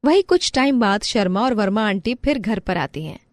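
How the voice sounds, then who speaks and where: Female storyteller, scripted narration, medium pitch, dry close recording. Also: expressive, moderate pace, no room sound.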